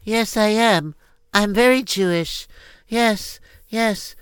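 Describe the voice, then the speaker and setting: A man's voice: high voice, slow pace, clean tone, dry recording, voice pushed up. A man doing a timid, stammering little girl. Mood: bewildered. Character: frightened little girl, about six.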